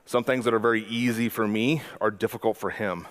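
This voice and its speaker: A man in his forties speaks at a conference in a somewhat low voice. He talks like a mentor sharing lessons, loose and chatty, restarting phrases and dropping the odd "uh" as he goes. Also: fairly even intonation, fairly quick. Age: 40s. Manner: conversational, self-correcting presenter with frequent "uh". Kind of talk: conference talk.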